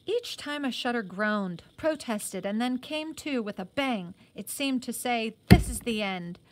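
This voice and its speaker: Woman, higher-pitched voice, read-aloud storytelling, teacher, explanatory asides. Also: animated, unhurried. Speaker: teacher reading a novel aloud.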